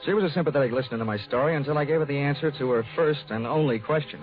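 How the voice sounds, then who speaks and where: An actor playing a wry, hardboiled, flirtatious insurance investigator in 1940s radio noir style. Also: male voice, a little high, racing, close to an everyday voice. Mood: wry narration. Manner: wry, wisecracking. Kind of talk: 1940s radio acting, first-person noir narration. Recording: dull 1940s radio broadcast with music.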